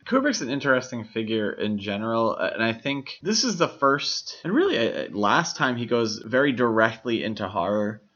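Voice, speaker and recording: Medium-pitched man, dull lo-fi recording. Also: very animated, moderate pace, hardly any pauses, fairly bright tone. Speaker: podcast co-host. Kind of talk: casual film discussion. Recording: dull lo-fi microphone sound.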